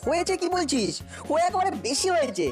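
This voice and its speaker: Fast, high-voiced cartoon youngster. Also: female voice, very animated. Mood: eager. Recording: loud background music.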